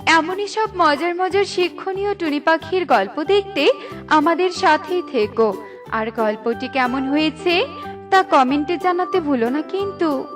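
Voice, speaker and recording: A woman with a high-pitched voice narrates a scripted story for children. Music plays behind her as she tells it. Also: expressive, a little slow. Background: background music.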